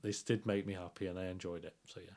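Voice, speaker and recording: A man's voice: low voice, flat delivery, fast, a little mellow. A man co-hosting a podcast, giving a casual review in a rambling, explanatory way and often repeating himself. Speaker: podcast co-host reviewing theatre.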